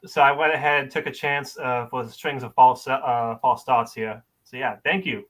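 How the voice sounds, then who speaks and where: A man's voice: mid-range voice, fairly even intonation, moderate pace, fairly bright tone. A man calling in to an audition, chatting casually in a candid, polite way. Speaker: auditioning caller. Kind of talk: casual explanatory chat.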